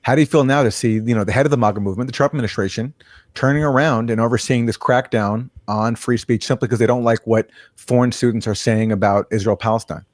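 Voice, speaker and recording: A man's voice: mid-range voice, fairly even intonation, quick pace, no echo. A man talks conversationally as a show host, pointed and direct in his commentary. His voice is close and dry, with no room sound. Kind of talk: political commentary interview.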